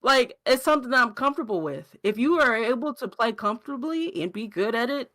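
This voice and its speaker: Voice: medium pitch, lively intonation, brisk, pushed and bright. Podcast co-host, casual gaming chat, informal, hesitant phrasing, mid-range voice.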